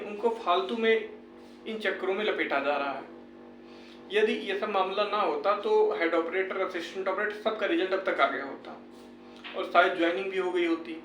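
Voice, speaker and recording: An earnest man with a north Indian accent from Uttar Pradesh speaks in a high-pitched voice, informally explaining an update to viewers. He repeats phrases to press his points. The recording is roomy and echoing, picked up at some distance from the mic, with music playing behind him. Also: a bit bright. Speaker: YouTube host giving exam recruitment updates.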